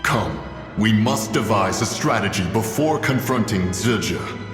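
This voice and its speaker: A low, reverberant voice of a grave immortal beast in a video game. The speech is pompous and archaic, stern and deliberate in its wording. Music plays behind. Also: male voice, lively intonation. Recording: reverberant processed voice over music.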